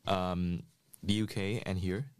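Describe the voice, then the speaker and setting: A man's voice: deep voice, steady tone, slow pace, smooth. A young man from Vietnam chatting casually as a podcast guest, hesitant with many fillers and restarts. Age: young adult. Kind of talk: casual group chat.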